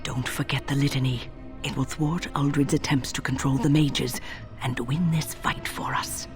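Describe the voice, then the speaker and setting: An actress playing a resolute, caring senior mage in a video game, earnest and urgent. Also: female voice, extremely low pitch, steady tone, normal speed, music in the background. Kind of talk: dramatic video game acting.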